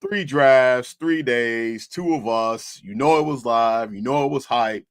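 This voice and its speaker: A young man with a hoarse voice, hosting a casual sports chat as a loud, slangy hype-man. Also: medium pitch, unhurried, dry recording, clean studio sound. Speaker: host.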